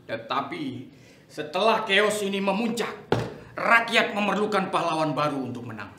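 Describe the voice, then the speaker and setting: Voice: mid-range voice, expressive, normal speed, noisy background, natural voice. Casting: authoritarian scheming general, acted in a dramatic reading, emphatic oratory with dramatic swings, heard off-mic in an echoey room. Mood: fervent, defiant. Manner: declamatory, emphatic, commanding. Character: bombastic, authoritarian general scheming amid political chaos. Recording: echoey room, distant microphone.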